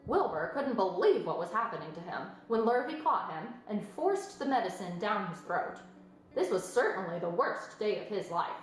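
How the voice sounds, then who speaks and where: A woman reading a children's book aloud as an expressive storyteller. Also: mid-range voice, normal speed, soft background music. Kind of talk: expressive scripted narration. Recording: very echoey room with faint noise.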